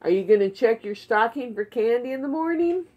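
Woman puppeteer for a homemade show: higher, husky voice, simple encouraging questions, recorded in a living room on a phone. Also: expressive, a little fast, lo-fi sound. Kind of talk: casual encouraging chat with a puppet partner. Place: living room beside a Christmas tree at home. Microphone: phone camera a short way off.

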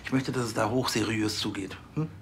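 An actor playing a self-important police chief in a TV comedy drama, speaking in a halting, pompous way. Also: male voice, lowish voice, fairly even intonation, vocal fry, slight background noise.

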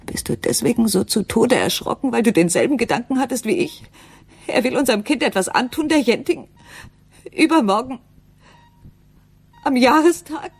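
A woman playing an anguished, frightened wife and mother in a radio drama, speaking haltingly and intimately, at times near a whisper. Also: fairly high pitch, animated, a little mellow. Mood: fearful, urgent. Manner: breathy, halting, dropping into whispers. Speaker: actress in a crime radio play.

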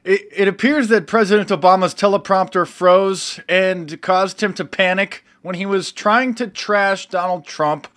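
A man with a high voice gives online commentary. His delivery is mocking, in the style of a political video host. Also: unhurried, few pauses, fairly bright tone.

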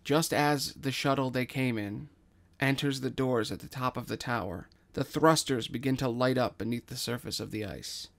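A man narrates a scripted story, reading out scene directions. His voice is mid-pitched with noticeable fry. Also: moderate pace, fairly bright tone.

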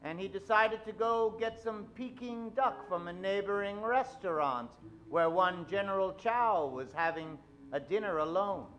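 A man's voice: sits high, unhurried, a bit bright, low-quality recording. A middle-aged man narrating an old-time radio show on stage, theatrical and suspenseful. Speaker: host and narrator. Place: live stage, at a podium. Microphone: standing microphone.

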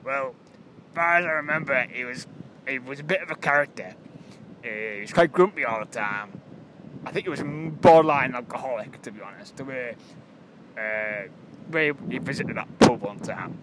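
A man's voice: medium pitch, normal speed, fairly bright tone, close to an everyday voice. A man with a British accent playing an offhand pirate captain, rambling vaguely as a witness in an improvised comedy film. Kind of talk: improvised comedy film acting, casual chat. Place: outdoors by a rocky seafront. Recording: windy, very noisy outdoor camera audio.

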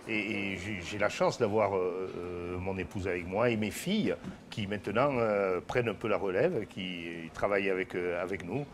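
An older man, a restaurant owner talking about his bar in a gruff, joking, halting way, on a lapel mic. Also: low-pitched, a little hiss or hum. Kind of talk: casual interview. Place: small wine bar, indoors.

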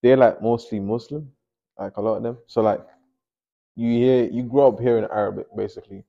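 A man's voice: mid-range voice, fairly even intonation, a little fast, gravelly, lo-fi sound. A young man from Nigeria chatting casually while he paints in a small home studio, often mumbling to himself as he works. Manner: laid-back, mumbles to himself while working. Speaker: painter and host.

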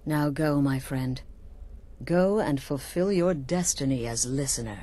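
An actress playing a cool, scheming assassin leader in a video game, measured, confident and conspiratorial. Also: female voice, very deep voice, mellow, slight background noise. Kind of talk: video game character dialogue.

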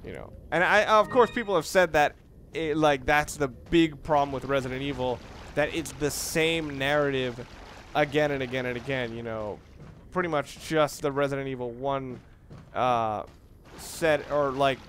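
A man giving casual let's-play commentary as he plays, rambling and halting, often repeating and rephrasing himself. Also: medium pitch. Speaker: gaming video host, player. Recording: clear background noise under voice.

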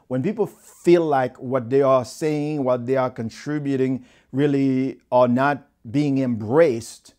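A middle-aged man with a Haitian accent speaks to the camera in a clean studio. His voice is mellow and warm, and it sits in a middle register. He talks in an earnest, motivational way, close on a lapel mic. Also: medium pitch. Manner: earnest, gestures with both hands while talking. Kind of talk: direct-to-camera talk.

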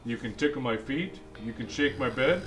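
A man's voice: medium pitch, animated, moderate pace, fairly bright tone, close to an everyday voice. A man voicing a jolly old Santa Claus who reads a picture book to kids in a chatty, exclaiming way. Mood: playful, insistent. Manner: exclaiming, chatty asides between lines. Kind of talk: animated storybook read-aloud. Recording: music behind the voice.

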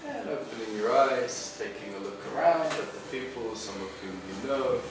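A man's voice: mid-range voice, animated, moderate pace. A man in his thirties with a British English accent, leading a workshop with a joking, direct, emphatic manner. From England. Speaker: workshop leader. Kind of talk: lively workshop talk with guided exercises. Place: small cafe room, indoors, live audience. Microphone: far camera. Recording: echoey room from far off, noisy.

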